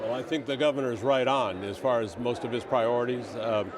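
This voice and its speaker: A man's voice: mid-range voice, fairly quick, a crowd in the background. A male state representative answering interview questions in an assertive, emphatic way. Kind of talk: political interview response. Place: large indoor statehouse lobby. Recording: noisy public lobby ambience.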